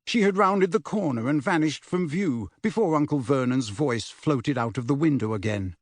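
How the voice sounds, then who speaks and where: A man's voice: fairly high pitch, animated, moderate pace, no room sound. A man reading a novel aloud as scripted audiobook narration.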